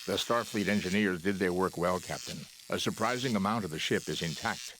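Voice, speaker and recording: A man's voice: low voice, brisk, a bit bright, slight background noise, low-quality recording. A man playing a logical, unemotional starship science officer in a sci-fi game, speaking formally and precisely. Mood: calm, analytical. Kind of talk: scripted sci-fi game dialogue.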